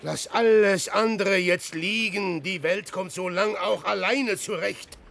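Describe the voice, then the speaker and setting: A grandiose self-pitying dreamer in a radio play, slow and dramatic, gravelly fry. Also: male voice, sits high, exaggerated voice. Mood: desperate pleading. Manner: declamatory, swinging from hushed brooding to grand exclamation. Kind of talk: theatrical German radio play monologue.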